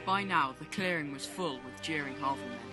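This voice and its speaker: A man narrates a fantasy audio play in a scripted storytelling style. His voice is on the high side, and music plays clearly behind him. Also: brisk.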